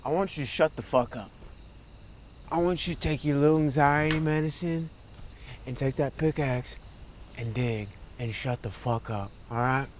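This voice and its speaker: An actor playing a volatile ex-convict who talks aggressively and with constant swearing. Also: male voice, medium pitch, normal speed, a little hiss or hum, lightly acted. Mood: hostile. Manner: aggressive, profane, explosive. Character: volatile ex-convict man named Caleb.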